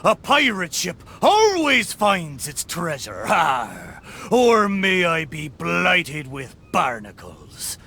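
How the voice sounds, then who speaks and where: Excitable, boastful pirate ship, wildly animated. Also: male voice, fairly high pitch, slow pace, vocal fry, hardly any pauses, a little hiss or hum, heavily acted. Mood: hearty, persuasive. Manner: theatrical pirate talk, exclamatory. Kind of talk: video game character acting.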